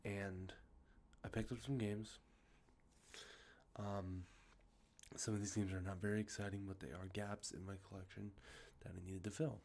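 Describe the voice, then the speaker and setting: A man with a congested, sniffly nose, talking softly and rambling, with many fillers, as an ASMR host showing off games he collected. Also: on the low side, little pitch movement, moderate pace, gravelly, slight background noise. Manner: rambling, many ums and uhs, self-correcting. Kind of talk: soft-spoken show-and-tell about collected video games. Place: outdoors with traffic nearby.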